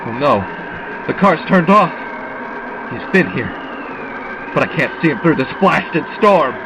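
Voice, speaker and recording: A man's voice: fairly high pitch, expressive, unhurried, noisy background. A man playing an earnest, desperate survivor hunting a killer in an audio drama, dramatically thinking aloud. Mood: grim, brooding.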